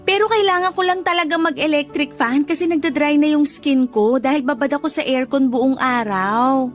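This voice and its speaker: Woman acting a boastful, high-pitched Filipina neighbor. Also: normal speed, faint music behind, close to an everyday voice. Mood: boastful, defensive. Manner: bragging, taunting. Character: boastful, haughty well-off woman neighbor, teasing and defensive. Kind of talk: radio drama dialogue.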